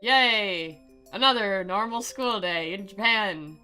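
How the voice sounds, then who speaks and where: A woman's voice: medium pitch, slow pace, pushed and bright, clear, resonant tone. A woman giving sarcastic, playful commentary as she reads a visual novel aloud in a dramatic style. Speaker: game commentator and reader. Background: faint music.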